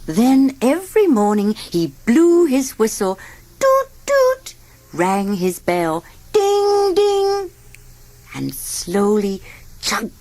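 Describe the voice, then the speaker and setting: A woman reading a children's read-along story aloud, expressive and sing-song, acting out the sound effects. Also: fairly high pitch, extremely slow. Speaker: storybook narrator.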